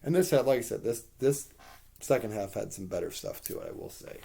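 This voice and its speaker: A man with a hoarse voice giving a rambling, candid spoken TV review, with plenty of fillers and the odd curse. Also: lowish voice, wildly swooping pitch, brisk, mellow, a little hiss or hum.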